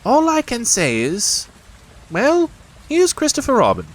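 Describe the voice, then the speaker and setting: A man voicing a fussy, self-important male rabbit who speaks in a brisk, bossy way. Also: very high-pitched, huge pitch swings, voice pushed up, exaggerated voice. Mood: officious.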